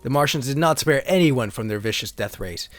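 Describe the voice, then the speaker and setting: A man's voice: mid-range voice, expressive, fairly quick. A man reading a retro sci-fi story as a theatrical, solemn narrator. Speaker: host and reader.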